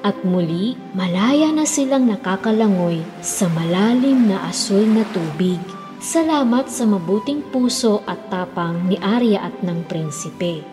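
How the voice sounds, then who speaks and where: A woman's voice: mid-range voice, animated, measured pace. Reverberant woman storyteller over music. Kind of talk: scripted children's fairy tale narration. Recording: long reverb, music behind.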